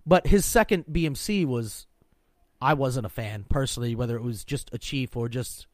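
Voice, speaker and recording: A man's voice: mid-range voice, moderate pace, no room sound, studio quality. A man co-hosting a podcast in a laid-back, rambling way, swearing freely as he chats. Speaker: podcast co-host. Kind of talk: casual profane chat.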